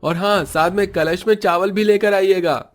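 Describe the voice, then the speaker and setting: Casting: male actor as breezy young modern son in a cartoon family tale, familiar manner, fairly high pitch, faint music behind. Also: steady tone, moderate pace, natural voice. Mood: cheerful. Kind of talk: Hindi moral-story cartoon voice acting.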